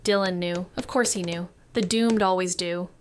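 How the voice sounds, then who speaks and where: A woman's voice: lowish voice, steady tone, normal speed, a little hiss or hum, natural voice. An actress playing a wary, sardonic young woman detective who narrates a noir audio drama with dry sarcasm. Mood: brooding, tense. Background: background music.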